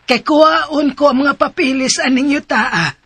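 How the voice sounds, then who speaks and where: A woman's voice: high-pitched, moderate pace, over loud music, close to an everyday voice. A woman with a Cebuano accent playing an elderly widowed mother in a radio drama, fretful and scolding. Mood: worried. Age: older.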